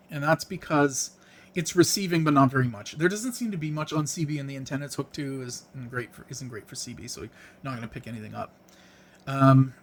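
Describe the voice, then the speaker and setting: A man's voice: on the high side, slight background noise. A man presenting a hands-on gadget demonstration in a casual, unscripted way, explaining things in plain, informal words.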